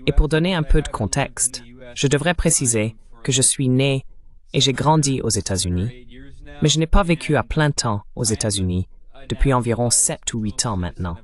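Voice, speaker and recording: A man giving measured, didactic political commentary as a dubbed interview guest, stressing his key points. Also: mid-range voice.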